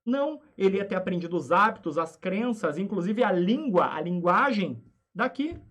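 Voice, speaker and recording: A man with a Brazilian Portuguese accent, teaching a class in a lively way and asking himself rhetorical questions. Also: sits high, normal speed. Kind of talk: lecture for students.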